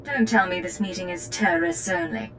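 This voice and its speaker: A woman's voice: mid-range voice, expressive, brisk, fairly bright tone, faint music behind, natural voice. An actress playing a cool, wry female secret agent in a video game, with a controlled, dry and confident delivery. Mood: wry, firm.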